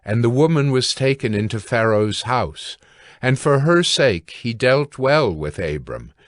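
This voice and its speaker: A man narrating scripture from a script in a measured, formal reading manner. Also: mid-range voice, very animated, no room sound, clean studio sound.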